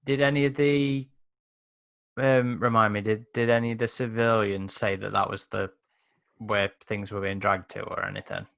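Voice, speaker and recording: Low, creaky-voiced man gaming casually. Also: level tone, normal speed, fairly bright tone, no room sound. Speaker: player in a tabletop game. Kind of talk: casual tabletop game chat.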